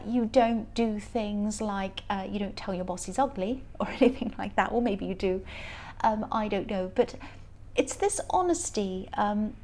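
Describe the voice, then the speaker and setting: Woman reading tarot cards, a bit croaky and higher pitched, rambly and laughs a lot. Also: a little mellow. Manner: chatty, rambling, laughs often. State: croaky voice from stress. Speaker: tarot reader. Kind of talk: casual tarot reading to camera.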